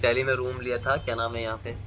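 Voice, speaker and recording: A man's voice: medium pitch, level tone, rapid-fire. A man, chatting to viewers as he vlogs his trip into a handheld phone camera. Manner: chatty, keeps addressing viewers. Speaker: travel vlogger. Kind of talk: handheld travel vlog narration. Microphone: phone camera held at arm's length. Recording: loud traffic and crowd noise.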